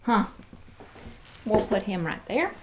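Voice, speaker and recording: Female host, unhurried and playful, casual show-and-tell chat, faint noise and clicks on a home recording. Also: medium pitch, big pitch swings. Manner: addresses toys and viewers playfully, cooing.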